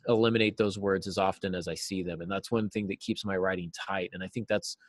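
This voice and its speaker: A middle-aged man with a fairly low voice talks as a guest in a casual interview. He explains his craft through examples, a little self-deprecating. The sound is dry and close, with little room in it. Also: steady tone, fast, dry recording. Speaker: interview guest, novelist and writing teacher. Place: small cluttered office, indoors. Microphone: video call, seated at a desk.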